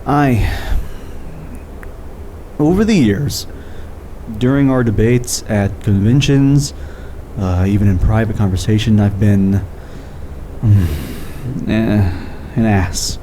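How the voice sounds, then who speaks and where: A man acting in an audio drama as a guilt-ridden, defensive skeptic, with halting, hesitant delivery and dry sarcasm. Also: fairly low pitch, lively intonation, mellow, slight background noise. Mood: remorseful.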